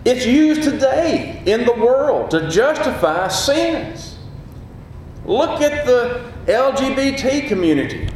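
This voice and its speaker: Gruff booming preacher in an echoey hall. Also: male voice, big pitch swings, slow pace, a little mellow, slight background noise. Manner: passionate, emphatic preaching with rising peaks. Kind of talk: sermon.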